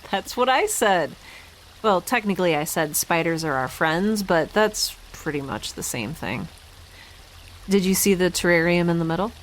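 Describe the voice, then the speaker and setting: An actress as a tired young woman scientist in a space audio drama. Her voice is slightly low and her pace very slow and halting, with a wry, anxious edge. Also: lively intonation, a little hiss or hum, poor audio. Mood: weary, wry. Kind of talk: naturalistic audio drama acting.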